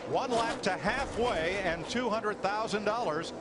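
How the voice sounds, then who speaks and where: A man's voice: sits high, unhurried. A man calling a live motor race as lead commentator. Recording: loud engine noise behind. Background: roaring race cars at a speedway.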